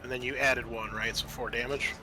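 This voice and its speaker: A man's voice: mid-range voice, fairly even intonation, fairly quick, music in the background, rough recording. A man acting as game master for a tabletop roleplaying game, explaining rules and narrating the action casually and with animation. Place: group game session.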